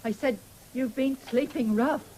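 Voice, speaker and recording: A woman's voice: high-pitched, measured pace, a little mellow, rough recording. An actress playing a proud, stubborn ageing farm woman in a radio drama, speaking in a brusque, defiant way. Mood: curt, guarded. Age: older. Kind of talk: 1970s British radio drama acting. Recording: faintly hissy old radio broadcast.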